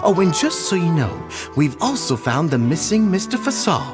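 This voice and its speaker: Oily, animated villain. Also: male voice, a little high, normal speed, over music. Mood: smug. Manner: oily, deferential, condescending. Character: smooth-talking, falsely polite villainous society leader. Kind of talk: video game voice acting.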